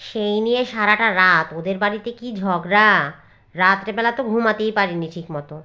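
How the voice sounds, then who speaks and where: A woman voicing a gossipy, disapproving young village housewife in a cartoon, with an animated, scandalised manner. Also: medium pitch, brisk, muffled recording, close to an everyday voice. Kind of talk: cartoon acting.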